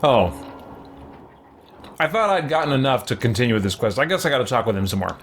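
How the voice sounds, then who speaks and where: A man's voice: mid-range voice, big pitch swings, a little fast, soft background music. Hesitant, thoughtful man doing gameplay commentary. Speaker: gaming channel host. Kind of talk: casual let's play commentary.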